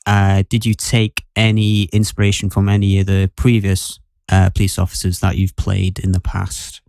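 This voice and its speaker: Low-voiced man on a dry podcast recording. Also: completely flat delivery, moderate pace, gravelly, a little mellow, dry recording. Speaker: podcast host. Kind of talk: casual podcast chat.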